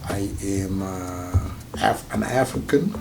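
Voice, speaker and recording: A man's voice: deep voice, takes it slowly, vocal fry, over music. A man from Rwanda with a Rwandan accent, telling personal stories casually as an interview guest. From Rwanda, grew up partly in Kenya.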